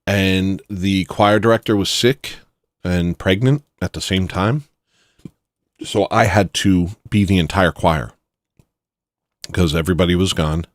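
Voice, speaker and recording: A man with a rough voice, chatting casually and rambling self-deprecatingly while making music on a stream. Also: low-pitched, no echo, clean studio sound.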